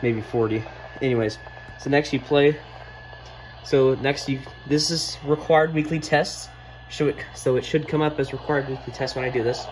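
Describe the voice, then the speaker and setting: A man's voice: mid-range voice, fairly even intonation, normal speed, slight background noise, low-quality recording. A man giving an informal hands-on tutorial, thinking aloud as he goes, recorded indoors on a handheld phone. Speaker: video creator giving a demonstration. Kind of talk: casual hands-on tutorial narration. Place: indoors at a wooden desk.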